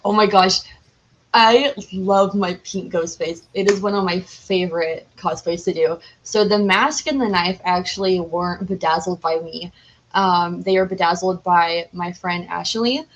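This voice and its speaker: A woman's voice: lowish voice, steady tone, measured pace, low-quality recording. Chatty, enthusiastic young woman. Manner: enthusiastic, rambling, heavy use of "like". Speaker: podcast guest, cosplayer. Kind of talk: casual chatty interview.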